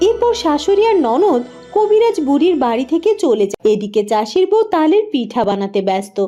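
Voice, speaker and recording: Female cartoon storyteller: high voice, scripted narration over faint music. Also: big pitch swings, a little fast, warm tone.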